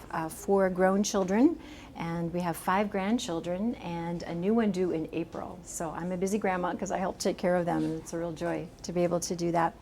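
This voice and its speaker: A soft-spoken woman in her sixties giving a gentle, chatty library talk as an author, often laughing a little. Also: mid-range voice, slight background noise. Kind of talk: informal public talk. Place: library meeting room.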